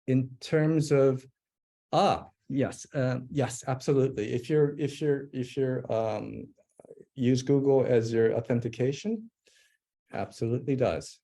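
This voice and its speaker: Man presenting a software demo: slow, gravelly, mellow tone, thinks aloud. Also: mid-range voice. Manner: thinking aloud while typing, many fillers and restarts. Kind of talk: live webinar demo.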